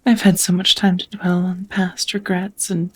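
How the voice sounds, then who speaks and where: A woman voicing a devoted, nervous vampire roommate in an intimate ASMR roleplay, whispering softly in a halting, earnest, tender way. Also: lowish voice, flat delivery, natural voice. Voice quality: soft, breathy whisper.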